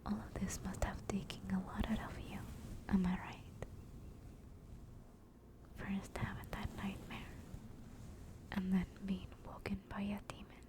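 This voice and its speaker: A woman voicing a gentle female demon in a whispered ASMR comfort roleplay, speaking in a slow, soothing, reassuring way. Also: medium pitch, completely flat delivery, close to an everyday voice. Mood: soothing, tender. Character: gentle, caring female sleep paralysis demon.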